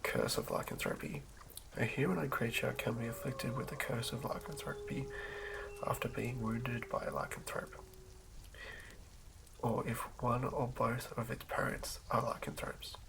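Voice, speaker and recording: A man doing a soothing ASMR reading of fantasy game lore in a hushed, breathy whisper, occasionally stumbling over words. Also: medium pitch, normal speed. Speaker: ASMR host. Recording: lo-fi recording with clear background noise.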